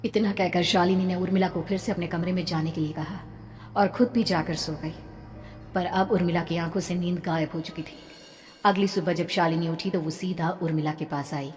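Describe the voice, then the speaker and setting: A woman's voice: lowish voice, fairly even intonation, moderate pace, a little mellow. A woman telling a horror story as dramatic scripted narration. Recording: long reverb, background noise.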